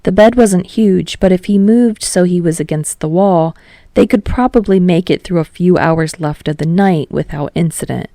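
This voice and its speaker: A woman's voice: on the low side, moderate pace, mellow, no echo, studio quality. A woman reading fiction aloud as an audiobook narrator, in a scripted storytelling style.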